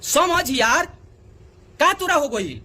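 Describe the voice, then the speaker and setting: A man's voice: very high-pitched, lively intonation, slow pace, pushed and bright. A man with a Bhojpuri accent making an indignant, pleading direct appeal, often repeating himself for emphasis. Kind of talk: agitated direct appeal in a video.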